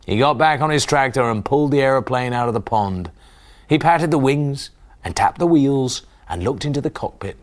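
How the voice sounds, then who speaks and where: A man in his forties reading a children's bedtime story expressively, with dramatic, hushed emphasis. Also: medium pitch, expressive, moderate pace. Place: small TV studio kitchen set, indoors. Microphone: lapel mic.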